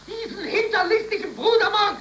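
A piercing, defiant young son. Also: male voice, very high voice, expressive, fairly quick, bright, forceful tone, full character voice. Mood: defiant, indignant. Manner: declamatory, impassioned. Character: defiant, principled young unemployed working-class son. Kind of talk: 1930s German radio play acting. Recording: distant, roomy, noisy 1930s radio recording.

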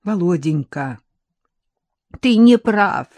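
A woman voicing a frail, tearful old grandmother with a thin, creaky voice and a pleading, drawn-out way of talking. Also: on the low side, expressive, darkish tone.

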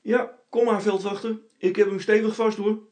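A man acts out an obliging boy from a storybook. The voice is lifted high and speaks up keenly and politely, always ready to help. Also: flat delivery, normal speed, a little mellow, dry recording, natural voice. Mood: eager, helpful. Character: eager, polite, helpful village boy.

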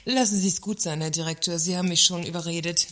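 A woman's voice: lowish voice, steady tone, quick pace, fairly bright tone, close to an everyday voice. An actress playing a combative, sardonic female works councillor, indignant and dryly sarcastic, in in a satirical radio play. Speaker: actress in a satirical audio drama. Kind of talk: German radio play dialogue.

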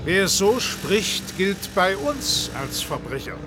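An actor playing a calm, evasive official in a radio play, speaking formally and with composure. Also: male voice, sits high, slow, over music, heavily acted. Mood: firm.

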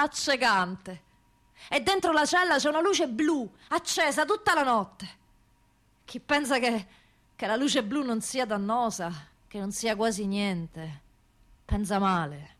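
A woman performing a dramatic radio theatre monologue as a testimony, with measured, grave and deliberate phrasing. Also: fairly high pitch, a bit bright. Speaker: actress reading prisoners' testimonies.

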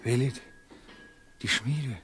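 An actor playing a proud, love-struck merchant in a radio play, poetic, brooding and imperious. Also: male voice, mid-range voice, takes it slowly, slightly rough, soft background music, heavily acted. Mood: brooding, uneasy. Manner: impassioned, poetic, swinging from hushed to commanding. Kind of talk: German radio play acting.

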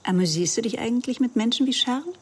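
An actress playing a self-assured, critical middle-aged woman in a radio drama, controlled and superior, with a breathy, slightly husky voice. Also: a little high, lively intonation, quick pace. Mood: probing.

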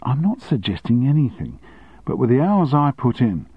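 A man voicing an indignant, sharp-tongued longtime woman secretary with cutting, sarcastic retorts, on a dry, slightly dull recording. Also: medium pitch, very animated, moderate pace, breathy edge, no echo, pitched above the performer's own voice.

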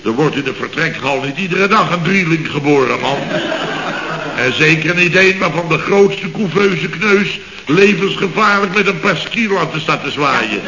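A man's voice: fairly high pitch, animated, a little slow, echoey room, background noise. An actor playing a blustering, boastful firm boss who tells his mishaps with exasperation, in a 1960s radio comedy. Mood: exasperated. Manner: exasperated comic storytelling, keeps exclaiming. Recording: lo-fi old radio recording.

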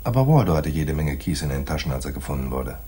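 A man's voice: a bass voice, very animated, fast talker, dark tone, breathy edge, heavily acted. An actor playing a laconic, shrewd private detective in a radio play, dry and slangy, telling his case like noir. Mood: dry, businesslike. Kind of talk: 1980s German radio play, noir dialogue and narration.

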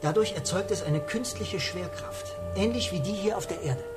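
A man acting an excitable, inventive scientist in a radio drama, his voice pitched on the high side. He is sceptical and thinks out loud, in hesitant bursts. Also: moderate pace, heavy background noise, natural voice. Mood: eager explaining. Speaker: actor in radio play. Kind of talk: German radio play acting.

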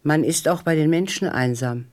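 A mysterious snake of the desert , played by an actor in a radio play. It speaks calmly and with measure, solemn and cryptic, with a quiet sense of power. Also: mid-range voice, normal speed, natural voice. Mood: quietly proud.

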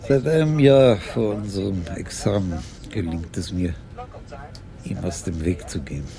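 Mellow, creaky older police commissioner. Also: male voice, low-pitched, measured pace. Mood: reflective. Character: gentle older police commissioner, tender husband. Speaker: actor in a radio crime drama. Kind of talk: German radio play acting, intimate dialogue.

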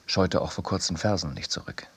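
A man's voice: low voice, fairly quick, no echo, whispered, exaggerated voice. A man reading as a sober, laconic chronicler in literary radio narration. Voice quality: husky.

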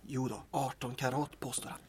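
A man's voice: medium pitch, level tone, noisy background, close to an everyday voice. An actor playing a sardonic, needling young man in an audio drama, sarcastic in manner.

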